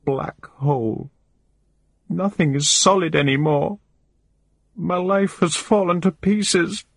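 A man's voice: medium pitch, husky, no echo, pitched above the performer's own voice, heavily acted. A man voicing a distraught middle-aged woman who speaks in a shaky, trembling, pleading way.

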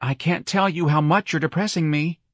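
A man voicing an effeminate young man, breathy, gushing and dramatic, as a character in an audiobook. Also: fairly high pitch, moderate pace, a bit bright, dry recording, studio quality, voice pushed up. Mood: weary, dramatic.

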